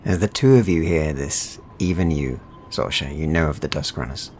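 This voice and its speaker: A man narrating a dark fantasy game as game master, in a dramatic, deliberate, suspenseful style addressed to the players. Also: extremely low pitch, slight background noise. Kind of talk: atmospheric second-person fantasy narration.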